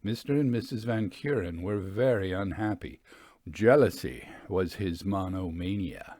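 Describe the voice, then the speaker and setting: Guy with a lowish voice reading an old story aloud, measured n expressive, really dry recording. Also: dry recording. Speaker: host and reader of ghost stories. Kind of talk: literary audiobook-style story narration with conversational asides.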